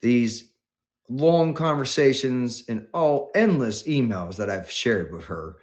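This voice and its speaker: A man hosting a livestream, talking in an enthusiastic, rambling way with many halting pauses. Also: mid-range voice, expressive, darkish tone. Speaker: livestream host.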